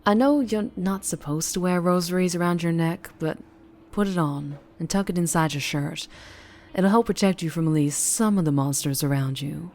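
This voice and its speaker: A woman with a Southern US accent playing a flirtatious, troubled, protective vampire in an intimate ASMR roleplay, speaking softly and haltingly. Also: fairly low pitch, close to an everyday voice. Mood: guarded, affectionate. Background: music.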